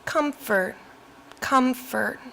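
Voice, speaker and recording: A brazen flirty librarian. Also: female voice, high voice, gravelly, bright, forceful tone, full character voice. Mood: flirtatious. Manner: sly, suggestive, quick-talking. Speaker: stage actor in a live radio play.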